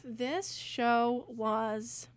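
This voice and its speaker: A teenage girl answers interview questions in casual chat. Her voice sits fairly high, and the recording is dry and close. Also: fairly even intonation, extremely slow, no room sound. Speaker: student performer being interviewed.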